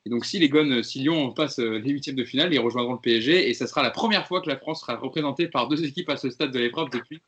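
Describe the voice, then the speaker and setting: Man, podcast host, rapid delivery, mid pitch, brisk and in charge. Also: a bit bright, husky. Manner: brisk, steering the discussion. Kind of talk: casual fan podcast chat.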